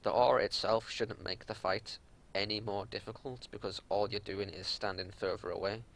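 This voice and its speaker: A man's voice: fairly low pitch, fairly even intonation, moderate pace, gravelly, fairly bright tone, rough recording. A man giving unscripted game analysis as a raid leader, explaining things in an exasperated, matter-of-fact way. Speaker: raid leader reviewing gameplay.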